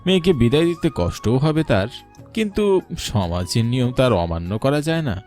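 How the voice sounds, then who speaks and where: A man's voice: medium pitch, big pitch swings, normal speed, a little mellow, soft background music. A man reading a scripted story as a dramatic narrator.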